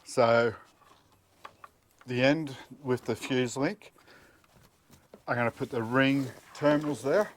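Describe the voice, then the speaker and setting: A man's voice: mid-range voice, fairly even intonation, slow, long pauses, a little hiss or hum. Middle-aged man giving practical DIY instructions. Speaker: DIY presenter. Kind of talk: casual step-by-step tutorial. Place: outdoors at a car engine bay and inside the vehicle cab.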